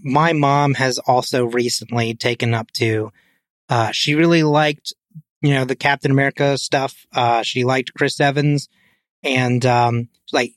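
A man's voice: medium pitch, no room sound. A man in a casual film discussion on a podcast, talking informally and peppering his sentences with "like". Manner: informal, hedging with frequent "like". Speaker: podcast co-host.

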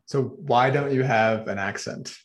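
A man hosting a podcast interview, relaxed and joking, with short affirmations. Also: mid-range voice, unhurried. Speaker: podcast host. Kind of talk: casual interview chat. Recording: roomy echo.

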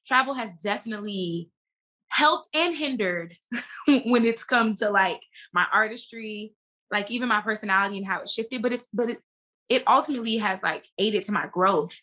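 A woman speaking as an actress guest in a casual interview, emphatic and animated as she tells stories. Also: mid-range voice, moderate pace.

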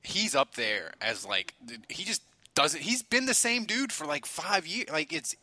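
An American man co-hosting a sports talk show, bantering casually in a halting, excitable, exaggerating fan's way. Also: a little high, dry recording.

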